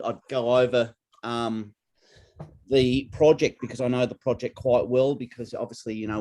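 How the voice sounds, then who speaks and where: A man speaks at a medium pitch. He sounds like an association representative talking off the cuff in an online meeting, in informal language. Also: a little mellow.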